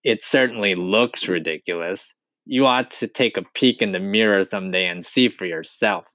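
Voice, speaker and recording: A man's voice: on the low side, moderate pace, fairly bright tone, no echo, close to an everyday voice. A man voicing a rude male centipede in a storybook, a brash, mocking tease.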